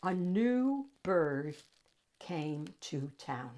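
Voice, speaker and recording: Animated woman reading a picture book. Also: low-pitched. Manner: dramatic pauses, drawn-out key words, addresses young listeners. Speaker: read-aloud host. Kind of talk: expressive picture book read-aloud.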